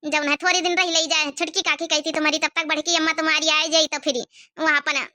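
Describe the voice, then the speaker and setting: A woman's voice: high voice, level tone, fast, sharp tone, no echo, clean studio sound. Meek, plaintive old village grandmother voiced by a woman. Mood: soothing, sad. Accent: Awadhi. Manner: plaintive, hesitant with filler phrases. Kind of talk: Awadhi cartoon comedy voice acting.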